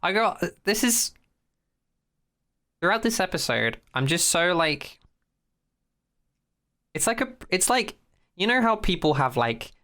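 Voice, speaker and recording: Clear, sweary podcast host in casual chat. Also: mid-range voice, very animated, measured pace, pauses often, sharp tone. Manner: sweary, informal.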